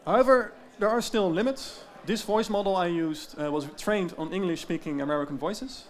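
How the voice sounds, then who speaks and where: A man with a Dutch accent giving an explanatory presentation, often pausing with fillers. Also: sits high. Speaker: tech founder giving a talk. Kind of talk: presentation talk.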